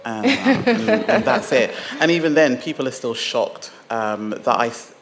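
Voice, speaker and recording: A woman with a British accent, a guest on a podcast, speaking in an expressive, amused way. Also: rumbling low, unhurried, vocal fry, background noise. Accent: British English. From United Kingdom. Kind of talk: casual discussion.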